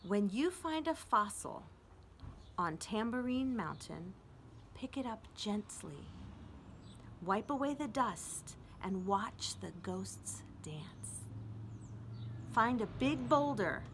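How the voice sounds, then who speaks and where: A middle-aged woman reading poetry aloud expressively with deliberate pauses and casual asides. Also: fairly high pitch, big pitch swings. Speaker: children's librarian reading to an online audience. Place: outdoors on a house front porch. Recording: outdoor traffic noise, street ambience. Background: street traffic.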